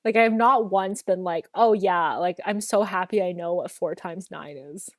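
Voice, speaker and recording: A woman's voice: moderate pace, no echo. Chatty young woman on a dry mic. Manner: chatty, filler-heavy, drops low for asides. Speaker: podcast co-host. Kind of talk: casual chat.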